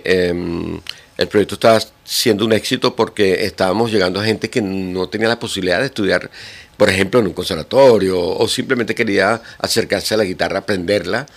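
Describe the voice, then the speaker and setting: A middle-aged man with a Venezuelan accent, a guitar teacher speaking as a guest in an interview, pausing often with fillers and restarts. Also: lowish voice, gravelly. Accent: Venezuelan Spanish. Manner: hesitant, many fillers and restarts. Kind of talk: radio interview.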